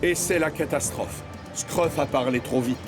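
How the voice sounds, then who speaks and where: A male narrator of a children's cartoon with a medium-pitched voice. He reads scripted narration in an expressive storytelling way. Music runs clearly behind him. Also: a little slow.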